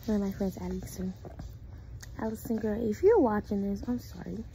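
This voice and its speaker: Young female vlogger, American, dark soft-edged tone, casual slangy delivery, handheld phone sound with slight room noise. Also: mid-range voice, poor audio. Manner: informal, slangy, halting, drops to hushed asides. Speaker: vlogger making prank calls. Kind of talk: casual chat to camera. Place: small indoor room. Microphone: phone held at arm's length.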